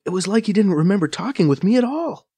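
A man's voice: high-pitched, lively intonation, fast, mellow, husky, no room sound, pitched above the performer's own voice. A man voicing a wary male fugitive who hesitates and stammers, in an audiobook. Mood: uneasy.

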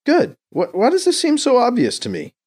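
A man's voice: extremely high pitch, huge pitch swings, moderate pace, darkish tone, voice pushed up, exaggerated voice. A man voicing an impatient, irreverent former pope in an audiobook, with a sarcastic, exclamatory manner. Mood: exasperated.

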